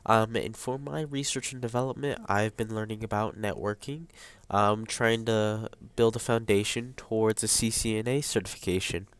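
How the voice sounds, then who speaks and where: Young man, congested nasal sound, slightly low voice, halting student tutorial delivery. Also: steady tone, clear, resonant tone, dry recording. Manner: hesitant, many ums and uhs. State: congested, stuffy nose. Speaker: high school student. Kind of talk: screen-recorded tutorial. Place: at a computer, voice over screen capture.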